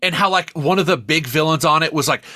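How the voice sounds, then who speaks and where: A man co-hosting a podcast, chatting casually and profanely about films in an emphatic, rambling, excitable way. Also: on the high side, fast, no echo, studio quality. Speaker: podcast co-host. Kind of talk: casual, profane film review chat.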